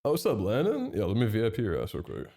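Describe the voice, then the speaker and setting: A man's voice: on the low side, fast talker. A young man streaming live, chatting with his chat and thanking subscribers, a little nasal from a head cold. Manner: chatty and excitable, reads out chat and thanks subs.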